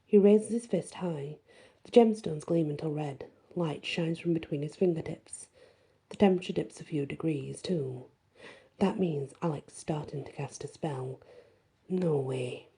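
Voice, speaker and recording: A woman's voice: low voice, unhurried, dark tone, breathy edge. A woman storyteller reading scripted first-person fantasy narration in an ASMR style.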